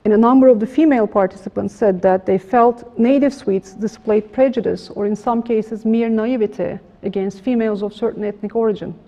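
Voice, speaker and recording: A middle-aged woman giving a formal academic lecture in a room with a projector, measured and halting with frequent restarts. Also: medium pitch, fairly even intonation, warm tone. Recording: slightly dull lo-fi room recording.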